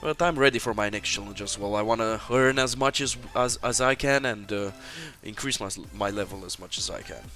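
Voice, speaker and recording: A man's voice: on the low side, fairly quick, few pauses, pushed and bright, soft background music. A man from Romania, commenting live as he plays through a fantasy online game. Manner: narrates his actions, many fillers. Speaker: game developer streaming gameplay.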